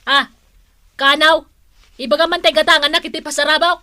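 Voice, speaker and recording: A cranky Ilocano mother from a radio comedy, scolding and complaining in a very high, bright voice. She nags her grown children in a northern Philippine accent. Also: fairly even intonation, brisk, no room sound. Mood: demanding. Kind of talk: radio comedy drama acting.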